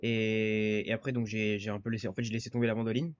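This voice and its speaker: A man's voice: fairly low pitch, little pitch movement, fast talker, no room sound, dull audio. A man from France, a musician and podcast guest, chatting casually in a laid-back, slangy way and slipping into English now and then. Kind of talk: casual interview chat.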